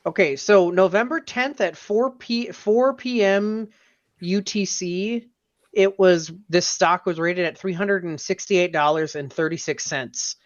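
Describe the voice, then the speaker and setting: Slow-talking man, slightly muffled sound. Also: high-pitched. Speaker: podcast co-host. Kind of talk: casual banter among friends.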